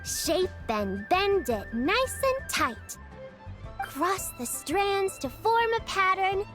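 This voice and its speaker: A cheerful little girl from a video game. Her voice is very high and lilting, with an unrushed pace. She explains things gently and sensibly, like a small teacher. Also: sing-song, slow pace, faint music behind, full character voice. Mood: sing-song, instructive.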